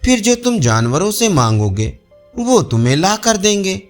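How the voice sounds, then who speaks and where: A man's voice: high voice, big pitch swings, normal speed, faint music behind. A man voicing a scheming male monkey in a storybook tale, coaxing and persuasive.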